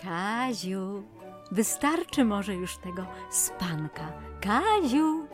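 An actress playing a fussy, bossy older aunt who nags theatrically in a radio play. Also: female voice, mid-range voice, big pitch swings, very slow, over music. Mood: coaxing, fussy. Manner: nagging, theatrical, fussing. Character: fussy, bossy, overbearing older aunt nagging her nephew.

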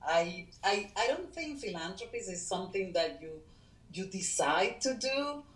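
A middle-aged woman from Venezuela, a guest co-founder of a foundation, speaking natively and talking in an earnest, halting way with many fillers. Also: mid-range voice, very animated, echoey room. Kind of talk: casual interview talk.

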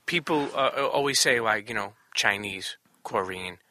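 A man's voice: fairly low pitch, a little slow, sharp tone, no room sound, clean studio sound. A man hosting a podcast, chatting casually in a blunt, profane manner. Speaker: podcast host. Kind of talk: casual chat.

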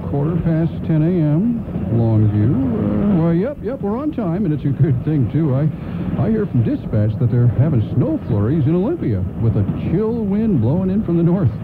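A genial, folksy train conductor stammers and doubles back on his words in a radio play. His highish voice comes through a thin phone-like band against very loud train noise. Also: big pitch swings, moderate pace. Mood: helpful, flustered. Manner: stammering, repeating himself, rambling. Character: folksy, amiable old-time train conductor.

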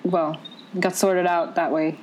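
A woman asking questions and reacting softly in a casual interview. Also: fairly low pitch, flat delivery, a little slow, poor audio. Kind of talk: casual chat.

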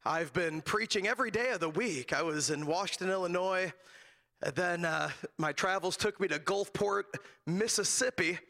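A man preaching from a church pulpit on a handheld microphone, hoarse and raspy today, telling a folksy personal story with frequent laughs. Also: high voice, normal speed, sharp tone. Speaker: guest preacher. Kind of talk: sermon with humorous personal story.

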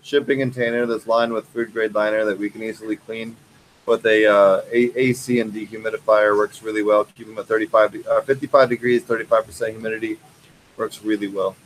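A man's voice: on the low side, level tone, a little fast, a little hiss or hum, rough recording. A man hosting a casual podcast, explaining technical details step by step from a large indoor grow facility. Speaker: podcast host.

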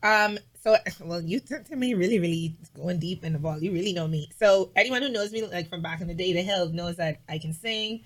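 A woman vlogger chatting casually as an interview guest, emphatic and animated, with lots of "like". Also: fairly low pitch, brisk, hardly any pauses. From Bahamas.

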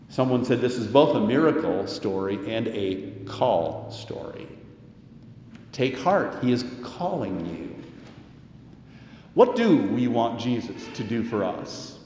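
Male preacher in an echoing hall. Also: medium pitch. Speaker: pastor preaching. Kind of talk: sermon with scripture and commentary quotes. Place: large echoing church or hall. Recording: echoey hall with long reverb.

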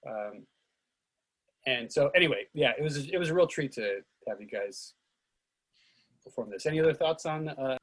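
A man speaking as an actor and host in a casual discussion, halting and digressive. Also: mid-range voice, expressive, fairly bright tone, low-quality recording. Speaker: actor and director hosting a play reading.

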